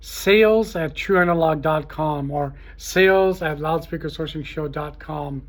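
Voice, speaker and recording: Higher-voiced man giving a halting sales pitch. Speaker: trade show host promoting his event. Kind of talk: informal unscripted promotional talk to camera.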